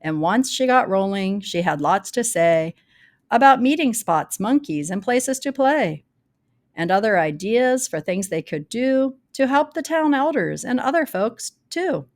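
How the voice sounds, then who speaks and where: A woman reads a rhyming children's storybook aloud, telling it in a dramatic, deliberate way with varied emphasis. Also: on the high side, sing-song. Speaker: storybook reader. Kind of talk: expressive read-aloud of a rhyming children's picture book.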